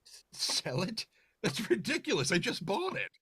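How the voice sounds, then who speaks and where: A man's voice: sits high, wildly swooping pitch, moderate pace, pushed and bright, slight background noise, exaggerated voice. A man playing a confident but easily flustered, skeptical homebuyer in a sputtering 1940s radio drama manner. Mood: flustered, curious.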